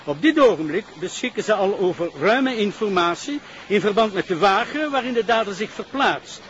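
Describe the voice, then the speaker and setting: Self-important Flemish prosecutor, halting official manner, high register, dull drama soundtrack. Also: male voice, lively intonation, fairly bright tone, a little hiss or hum. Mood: formal, assertive. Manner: hesitant, formal, official. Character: flustered, self-important male public prosecutor.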